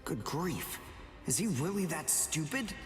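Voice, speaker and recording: A man's voice: a little high, big pitch swings, unhurried. A young man playing a brainy, intense teenage spirit archer in an anime game dub, analytical and dramatic. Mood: baffled. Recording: echoey, with game music behind. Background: game music.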